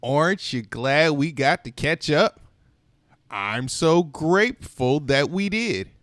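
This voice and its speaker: A man narrating a children's read-aloud in a slow, unhurried way, his pitch bouncing up and down expressively. His voice sits fairly high for a man and sounds close and dry, with playful sighs and exclamations. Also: expressive, fairly bright tone, no room sound. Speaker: storytime reader.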